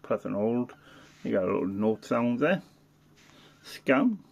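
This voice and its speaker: A man giving a casual, unscripted product demonstration as a hobbyist reviewer, halting and thinking aloud as he goes. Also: medium pitch, big pitch swings, gravelly, highs cut off.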